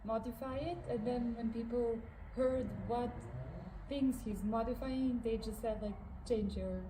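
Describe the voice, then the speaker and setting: A woman's voice: on the high side, fairly even intonation, measured pace, far from the mic. A woman from northern Serbia with a Serbian accent, chatting casually as a passenger co-presenter. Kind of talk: casual chat. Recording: roomy echo, clearly noisy background.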